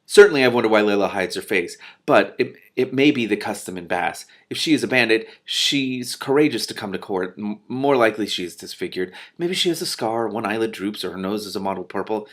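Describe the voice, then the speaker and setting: A man reading a storybook part as a gallant, earnest young prince who is easily embarrassed, with a courteous manner that turns halting when flustered. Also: on the low side, lively intonation, fairly bright tone. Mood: musing.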